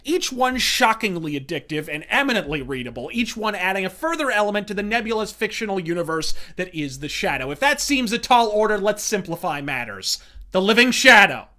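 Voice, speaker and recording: A man's voice: high-pitched, sing-song, fairly quick, sharp tone. A man delivering scripted, opinionated review commentary in an emphatic, wordy, theatrical and profane way. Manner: profane, brash. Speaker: host of a comics and pulp review series.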